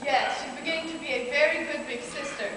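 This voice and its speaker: A woman's voice: high-pitched, fast talker, natural voice. A young woman acting a caring, anxious mother in an amateur stage play. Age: young adult. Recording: echoey hall from far off, noisy.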